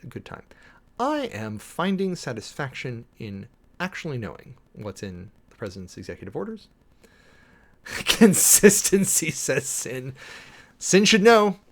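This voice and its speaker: Man, mid-range pitch, livestream host, casual commentary, opinionated, informal, emphatic repetition. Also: big pitch swings, slow pace, long pauses, a bit bright. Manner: informal, opinionated, emphatic, repeating phrases for stress. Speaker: live streamer hosting a political commentary show.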